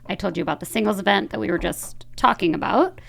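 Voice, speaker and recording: Chatty woman with gravelly fry. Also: mid-range voice, expressive, quick pace. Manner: chatty, filler-heavy. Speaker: podcast host. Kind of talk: casual chat.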